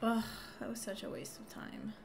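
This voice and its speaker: A woman's voice: medium pitch, wildly swooping pitch, moderate pace, gravelly, background noise. A woman giving casual, chatty live commentary as she plays a video game, self-deprecating and mildly exasperated. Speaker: game streamer. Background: video game music.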